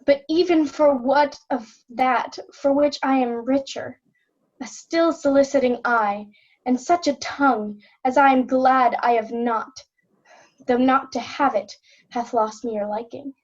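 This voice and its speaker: A woman's voice: high voice, measured pace, muffled recording. A woman playing an honest, dignified young princess, pleading earnestly in formal Shakespearean verse. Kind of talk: Shakespeare reader's theatre acting.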